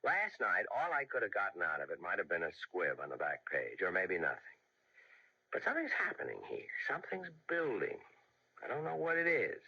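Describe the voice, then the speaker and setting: Gravelly, sardonic reporter, muffled old radio. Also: male voice, low voice, huge pitch swings, a little fast, sharp tone, heavily acted. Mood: intent. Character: dogged, sardonic newspaper reporter drawn to a married woman. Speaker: radio drama actor. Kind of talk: old-fashioned radio mystery acting.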